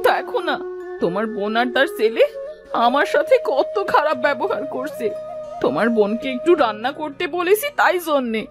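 A harsh married village aunt from a cartoon moral tale, voiced by a woman. Her voice sits fairly high and swings widely as she bosses and accuses, with music underneath. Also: big pitch swings, moderate pace. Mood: complaining. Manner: sharp, accusing, bossy. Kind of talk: animated moral story acting.

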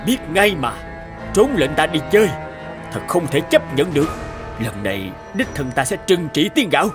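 A man voicing a stern, imperious old heavenly emperor in an animated fairy tale, speaking formally and with command. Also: a little high, moderate pace. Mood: angry. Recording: music under the voice.

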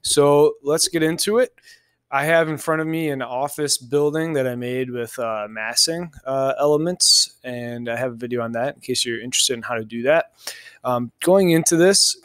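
Male software instructor, mid-pitched, clean and dry sound, casual step-by-step screen narration. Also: smooth, dry recording. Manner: informal, thinking aloud step by step.

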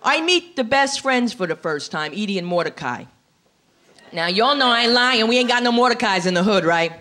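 A middle-aged American woman doing stand-up into a handheld mic on a club stage, sassy, animated and full of slang. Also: fairly high pitch, normal speed, a little hiss or hum. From Paterson, New Jersey, United States. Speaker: stand-up comedian. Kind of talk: stand-up comedy. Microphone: handheld stage microphone.

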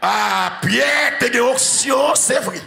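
Haitian pastor in his forties, bright forceful delivery, slow and chanting, handheld mic in a church hall. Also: very high-pitched, lively intonation, creaky. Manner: shouting, chanting repetitive preaching. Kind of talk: sermon. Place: large church sanctuary with congregation. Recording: echoey church hall, organ music.

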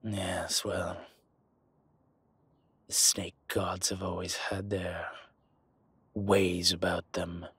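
Guy doing a smug dragon mob boss for roleplay audio, slow n deliberate, raspy as hell, barely moves off that low note. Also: level tone, fairly bright tone, dry recording, exaggerated voice. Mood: haughty, disdainful. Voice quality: husky, rough. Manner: slow, deliberate, pausing, smooth-talking. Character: suave, arrogant male dragon mob boss, older, fond of luxury. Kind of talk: intimate scripted roleplay audio, one-sided conversation.

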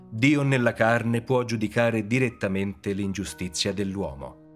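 A man reading a religious text aloud in a solemn, measured way. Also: fairly low pitch. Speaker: scripture reader.

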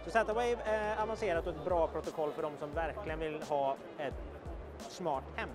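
A man's voice: a little high, fairly even intonation, over music. A young man giving an informal, explanatory product demonstration to camera, as a video presenter. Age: young adult. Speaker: video presenter for an electronics retailer. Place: indoor trade fair booth.

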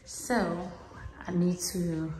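Woman vlogging a house tour on her phone, gushy but slow and soft-toned, bathroom echo. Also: fairly low pitch, level tone. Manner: gushing, drawn-out emphasis. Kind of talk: casual home renovation tour. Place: small tiled bathroom indoors, being remodelled. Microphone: handheld phone behind the camera. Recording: echoey bare bathroom.

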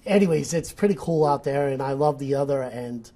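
A man's voice: medium pitch, a little fast, warm tone, a little hiss or hum. A man in his forties with a New England accent, a nature photographer talking as a guest on a studio interview, explaining with lots of restarts. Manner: gestures a lot, explains eagerly with restarts. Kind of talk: casual interview talk. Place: studio set. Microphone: lapel.

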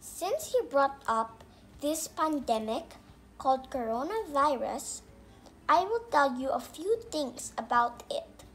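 A woman voicing a calm, reassuring doctor puppet, explaining carefully in a scripted children's educational show. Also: high voice, slow, soft background music, full character voice, low-quality recording.

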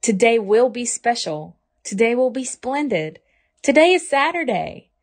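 Devoted picture-book mom, read by a woman, emphatic and expressive, slow measured delivery, voice lifting. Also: fairly high pitch, pitched above the performer's own voice. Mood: reassuring. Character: devoted, reassuring storybook mother who gets flustered.

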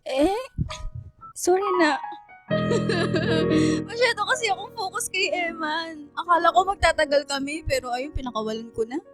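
A woman's voice: extremely high pitch, a little slow, loud music behind the voice. A woman playing a sensitive, lovestruck young female student in radio drama dialogue. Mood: apologetic.

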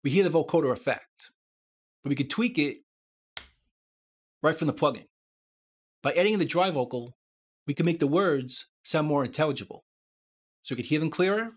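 Man narrating a software tutorial. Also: a little high, lively intonation, moderate pace, long pauses, husky. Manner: step-by-step, explanatory. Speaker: tutorial presenter.

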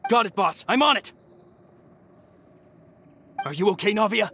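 A man's voice: high voice, expressive, a little slow, a little hiss or hum, full character voice. A man playing a loyal, protective henchman in a video game, urgent and emphatic. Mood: concerned. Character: loyal, protective henchman devoted to his female boss. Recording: loud music under the voice.

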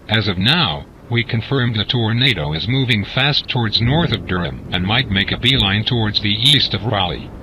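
A man's voice: on the low side, poor audio. A man playing a grave radio weatherman giving urgent, emphatic emergency warnings to listeners. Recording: loud storm-like noise behind.

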